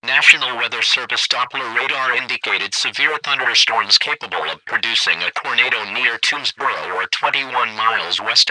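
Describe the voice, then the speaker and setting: A man's voice: medium pitch, sharp tone, dry recording, rough recording. A synthetic-sounding man reading scripted emergency weather warnings in a formal, impersonal, clipped way. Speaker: emergency alert announcer.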